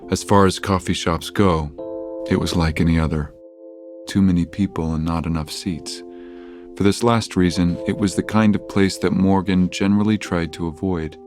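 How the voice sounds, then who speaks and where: A measured male storyteller narrates an audio drama, voiced by a man. His voice is deep and his reading is literary, with music clearly playing underneath. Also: fairly even intonation, close to an everyday voice.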